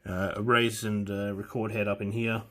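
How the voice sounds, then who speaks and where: Male hobbyist presenter, lowish voice, informal and unscripted, hesitant as he talks through gear. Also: flat delivery, sharp tone. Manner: hesitant, halting, thinking aloud while handling the machine. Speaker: hobbyist presenter demonstrating vintage audio gear. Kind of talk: casual unscripted equipment walkthrough.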